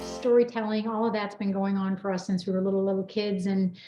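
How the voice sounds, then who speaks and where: A woman's voice: medium pitch, flat delivery, fairly quick. A woman hosting a casual interview in a chatty, informal, rambling way. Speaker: host. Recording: roomy echo, music behind voice.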